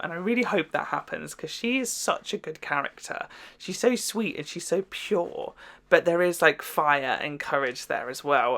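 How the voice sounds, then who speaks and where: A young woman with a slightly low voice talks through a spoken review, loose and rambling. She strings thoughts together with plenty of "like" and "um", easy and conversational. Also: fairly bright tone. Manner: chatty, rambling, lots of "like" and "um". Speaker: reaction video host.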